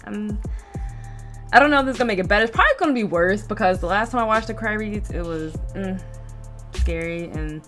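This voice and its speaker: A woman's voice: mid-range voice, a little fast, fairly bright tone, soft background music. A woman hosting a reaction video, chatting and commenting in an informal way with short exclamations. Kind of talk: casual chat and commentary.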